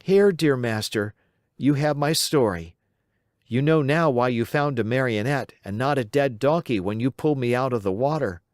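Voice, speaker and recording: A man's voice: medium pitch, animated, moderate pace, a little mellow, no echo, studio quality, natural voice. A man voicing a cheeky young wooden puppet boy in a storybook reading, in a playful, raised voice. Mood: storytelling.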